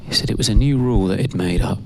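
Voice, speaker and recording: A man performing a reflective spoken-word story live, in short, halting phrases. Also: on the low side, vocal fry, background noise. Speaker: performance artist.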